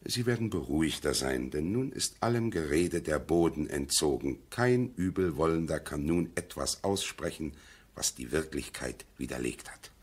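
Casting: sententious male go-between, bass-deep and rough-edged, preachy, seventies radio play. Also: expressive, normal speed, full character voice. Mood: officious. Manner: sententious, preachy, emphatic phrasing. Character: fussy, moralizing male family go-between. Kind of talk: 1970s German radio play acting.

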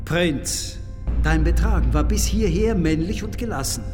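An actor playing a solemn, commanding high priest in a radio play, stately and ceremonious in his declaiming. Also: expressive, measured pace. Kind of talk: German radio play acting. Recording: long hall reverb, music underneath.

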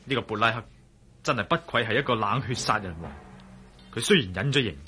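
An actor playing a cool-headed, daring adventurer in a radio drama, confident and wry, with a Hong Kong Cantonese accent. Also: male voice, on the low side, brisk, sharp tone, faint music behind. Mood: wary, serious.

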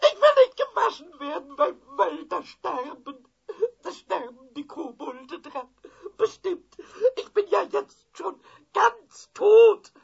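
A man playing a cheeky little childlike kobold in a 1970s children's radio play, excitable and mischievous, with a squeaky, creaky voice that stammers and repeats words. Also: slow pace, full character voice. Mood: whining, pleading. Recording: dull vintage radio play recording.

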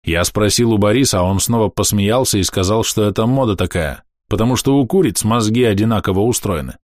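A man reading a first-person diary aloud in a calm, matter-of-fact, measured way. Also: on the low side, no room sound, studio quality. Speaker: audiobook narrator.

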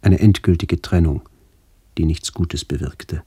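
Very deep-voiced man narrating solemnly. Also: a little slow, mellow, slightly rough, no room sound. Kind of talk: scripted literary radio narration.

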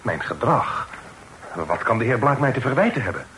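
A man's voice: mid-range voice, big pitch swings, moderate pace, a bit bright. A man playing an earnest, honourable young son in a Dutch radio drama, protesting in formal, respectful speech. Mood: bewildered protest. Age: young adult. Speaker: radio play actor. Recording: faintly noisy old radio play recording.

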